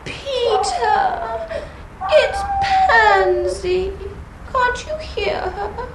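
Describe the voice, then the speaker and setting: A woman's voice: very high voice, animated, very slow, echoey room, noisy background, exaggerated voice. An actress with an English accent playing a fussing young married woman in a farce, by turns bossy, coaxing and plaintive. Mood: pleading. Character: insistent, fussing young married woman, sentimental about her dog. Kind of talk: vintage British stage farce acting.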